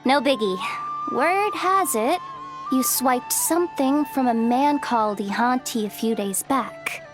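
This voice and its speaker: A woman's voice: high voice, expressive, unhurried, over music. An actress playing a breezy, self-assured, streetwise young woman in a video game, talking in a casual, teasing, slangy way. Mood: cocky, unbothered. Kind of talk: video game character acting.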